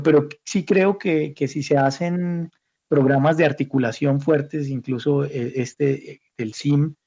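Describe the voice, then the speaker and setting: Gravelly Colombian man, halting and reflective. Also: a little high, mellow. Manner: halting, repeats words while thinking. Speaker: academic guest, institute director. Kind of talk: reflective interview conversation. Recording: slightly dull, muffled audio.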